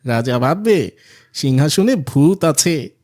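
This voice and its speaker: A man's voice: fairly high pitch, animated, takes it slowly, a little mellow. An actor playing a sly henchman advising a wicked minister, in a scheming cartoon style.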